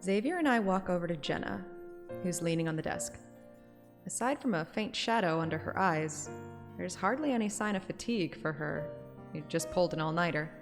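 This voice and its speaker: A woman's voice: on the low side, expressive, moderate pace. A woman streaming a story game, moving between playful, self-mocking chat and dramatic read-aloud narration. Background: game music.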